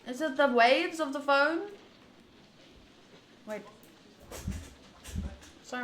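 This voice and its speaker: Woman, bright forceful voice, rambling game streamer, reading and commenting, roomy echo. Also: fairly high pitch. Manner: animated, rambling, thinking aloud. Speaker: streamer playing a visual novel. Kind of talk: reading game text aloud with casual commentary. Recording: roomy echo, faint background noise.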